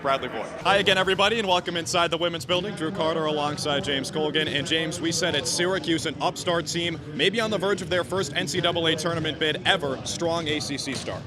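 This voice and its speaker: A young American man in his twenties doing live sports play-by-play and show openings in a polished, energetic announcer delivery. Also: on the high side. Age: 20s. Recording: noisy sports venue ambience.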